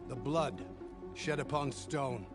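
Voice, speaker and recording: A man's voice: medium pitch, takes it slowly, natural voice. A man acting a proud, defiant leader of a mountain warrior clan in a video game, speaking in a haughty, declamatory way. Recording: loud music behind the voice.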